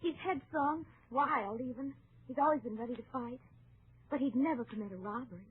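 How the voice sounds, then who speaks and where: A woman's voice: high-pitched, brisk, husky. An actress playing a worried, earnest young lady, polite and pleading, in an old-time radio western. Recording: noisy, tinny old radio recording.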